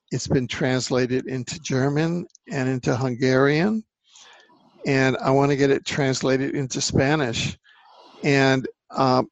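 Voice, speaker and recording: A man talking earnestly in a casual conversation, halting and rambling as he explains things. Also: medium pitch, no room sound. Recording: dull lo-fi dry sound.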